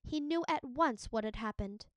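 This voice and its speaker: High-voiced woman reading a storybook aloud. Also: animated, moderate pace, no echo. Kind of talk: expressive storybook read-aloud narration.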